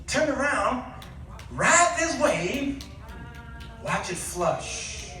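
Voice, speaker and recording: Guy preacher with a high voice, exhorting the congregation, super echoey and far from the mic. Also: big pitch swings, sharp tone, faint music behind. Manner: exhorting, punctuating points with pauses and rhetorical questions. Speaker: guest preacher. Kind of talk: impassioned church sermon. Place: large echoey indoor church with congregation. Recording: echoey church, distant mic, congregation responding.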